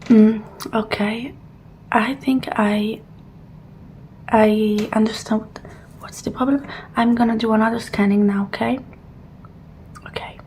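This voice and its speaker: A woman doing an ASMR roleplay as a technician, speaking softly and gently in murmured reassurances and instructions, often slipping into a breathy whisper. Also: fairly high pitch, fairly even intonation, slow, pauses often, a little hiss or hum. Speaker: ASMR roleplayer playing a technician who examines a robot. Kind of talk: ASMR roleplay, soft personal attention.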